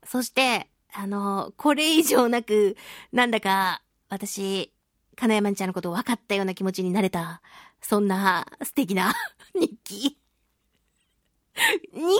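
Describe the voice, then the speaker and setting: A woman hosting a solo radio talk show, reading listener mail in a playful, giggly, animated manner. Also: mid-range voice, measured pace, no echo, clean studio sound. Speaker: radio host, voice actress.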